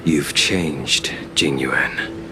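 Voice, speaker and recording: An actor playing a grim, reproachful man in a video game cutscene, slow to speak. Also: extremely low pitch, fairly even intonation, over music, heavily acted. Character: grim, reproachful old acquaintance of a general.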